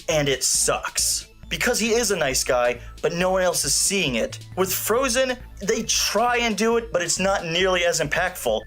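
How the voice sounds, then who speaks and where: Higher-pitched man reviewing over music. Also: fairly bright tone. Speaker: video reviewer and host. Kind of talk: opinionated scripted review narration. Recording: music playing behind the voice. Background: background music.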